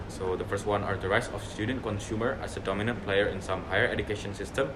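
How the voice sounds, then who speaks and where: Low-voiced young man leading a discussion, noisy room. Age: young adult. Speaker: discussion leader. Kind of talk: moderating a student group discussion. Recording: noisy room with steady background hum.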